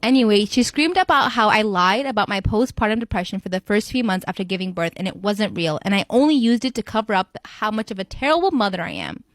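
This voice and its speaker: A woman narrating first-person storytime posts in a brisk, even reading voice. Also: mid-range voice, no echo.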